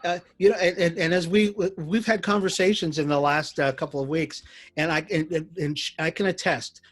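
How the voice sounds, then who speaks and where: A man hosts a casual interview in a friendly way, often restarting his sentences. His voice is fairly high for a man and a bit husky. Faint music sits behind him. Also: steady tone, brisk, fairly bright tone. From Jamaican family. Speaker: interview host.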